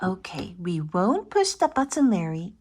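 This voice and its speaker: A woman reading a picture book aloud to young children, playful and expressive, speaking directly to the child listening. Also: lowish voice, a little slow.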